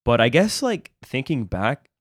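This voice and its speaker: A man's voice: medium pitch, big pitch swings, measured pace, dry recording, studio quality. A man hosting a podcast interview, chatting casually and hesitantly with many fillers and restarts. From United States. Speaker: podcast host.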